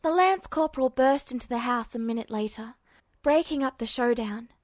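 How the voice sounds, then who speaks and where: A woman's voice: on the high side, moderate pace, dry recording, clean studio sound. A woman narrating a story from a script as an audiobook-style reader. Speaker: podfic narrator.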